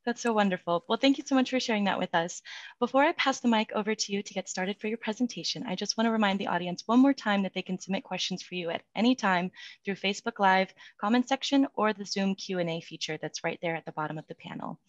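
A young woman hosting an online event over a headset on a video call, brisk and upbeat as she reads the introduction. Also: mid-range voice, no room sound. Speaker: host. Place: room at home. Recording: dull, dry video call audio.

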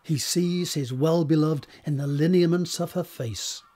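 A man narrates a drama script, setting scenes and describing action. His voice sits a little high for a man and has a husky, unpolished grain. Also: unhurried, dark tone. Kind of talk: scripted narration of stage directions.

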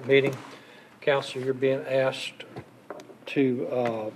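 A man serving as mayor, running a city council meeting with brisk, procedural phrasing. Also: medium pitch, a little mellow, a little hiss or hum, low-quality recording. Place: council chamber.

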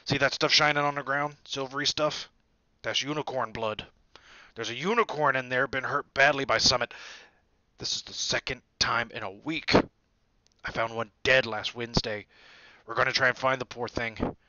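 A man voicing a big, fierce male groundskeeper with a booming, rustic way of talking. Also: steady tone, moderate pace, bright, forceful tone, no room sound, lo-fi sound. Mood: gruff, stern. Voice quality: booming, broad rustic dialect.

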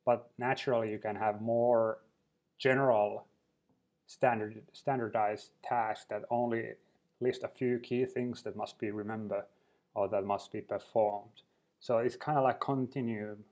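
A male trainer talks through a slide presentation at a middle pitch. His delivery is unscripted and loose, full of restarts and fillers as he works through each point. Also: a little mellow, lo-fi sound.

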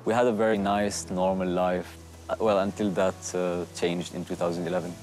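A man in his thirties from Syria, a charity founder, calmly telling his story in a garden interview. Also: low voice, normal speed, soft background music. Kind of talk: documentary interview. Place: outdoor garden.

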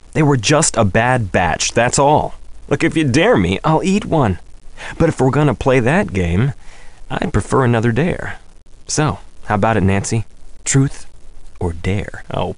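An actor playing a vain, smooth-talking soap opera heartthrob in a video game, with a cocky, teasing, flirtatious manner. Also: male voice, medium pitch, dry recording, lightly acted. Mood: breezy, dismissive. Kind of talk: video game character dialogue.

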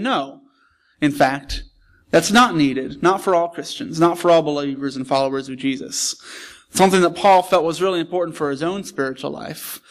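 A man preaching a sermon in a church, explaining scripture in a conversational, plainspoken way. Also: a little high. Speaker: pastor. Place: church sanctuary.

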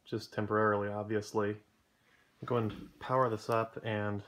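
Low-voiced man, casual hobbyist. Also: little pitch movement, moderate pace, a bit bright. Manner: informal, matter-of-fact, unscripted. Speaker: hobbyist presenter. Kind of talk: casual workbench demonstration. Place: indoor electronics workbench.

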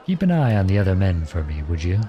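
A man acts out a charming outlaw prince in an ASMR roleplay, with a deep, soft-edged mellow voice. He talks to the listener in a courtly, gently teasing way, with lively swings in pitch. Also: quick pace, dull audio, slight background noise. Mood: playful, teasing. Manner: courtly, playful, tender.

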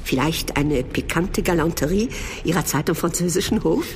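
An actress playing a gracious, playful princess in a German audio drama, genteel, coaxing and effusive. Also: female voice, low voice, lively intonation, normal speed, slight background noise. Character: gracious, playful princess hosting an evening salon.

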